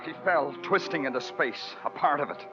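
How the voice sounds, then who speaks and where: An actor playing a terse, world-weary New York police detective in a 1940s radio noir. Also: male voice, fairly high pitch, normal speed, loud music behind the voice, natural voice. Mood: tense narration. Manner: terse, brooding, poetic narration. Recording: dull vintage radio recording.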